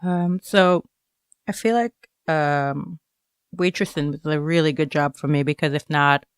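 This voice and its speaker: A woman giving a reflective personal interview, speaking haltingly and often dropping in "like" and "you know.". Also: sits low, fairly even intonation, smooth, no echo, clean studio sound. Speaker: interviewed writer.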